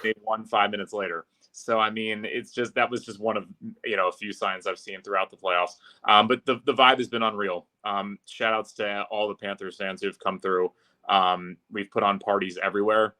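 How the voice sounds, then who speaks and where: A man's voice: on the low side, steady tone, moderate pace, sharp tone. A man who works as an announcer, chatting informally and fluently as a podcast guest. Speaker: podcast guest, arena public address announcer. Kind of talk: casual interview chat.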